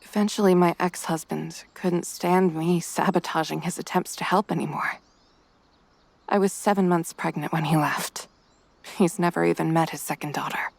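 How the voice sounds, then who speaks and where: Woman as a low-voiced, reserved, refined mother. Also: steady tone, creaky, no room sound. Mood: sorrowful, hushed. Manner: formal, measured wording. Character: reserved, refined mother carrying quiet guilt. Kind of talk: motion comic voice acting.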